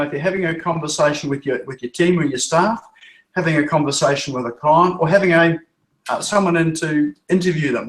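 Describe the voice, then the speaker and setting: A man's voice: a little high, fairly bright tone, reverberant, rough recording. A man from Norfolk Island with a New Zealand accent, a web developer answering interview questions in a chatty, rambling way with frequent restarts. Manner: chatty, restarts and repeats words.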